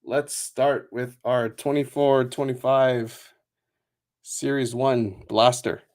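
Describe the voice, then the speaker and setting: A man hosting a trading card break stream, chatting casually with many fillers and quick laughs, and reading out a long list of names. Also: mid-range voice, steady tone, darkish tone. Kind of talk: casual livestream chat.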